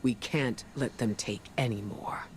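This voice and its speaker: An actor playing a weary, dutiful middle-aged military commander in a video game, speaking in a grave, measured, troubled way. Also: male voice, mid-range voice, a little hiss or hum, natural voice. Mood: grave, worried. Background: music.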